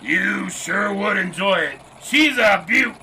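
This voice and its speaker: This is an exuberant, boastful 1920s man played by an actor in a radio drama. His voice is high, very sharp and forceful, with a gravelly creak. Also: animated, slow, slight background noise, heavily acted, low-quality recording. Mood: excited, proud.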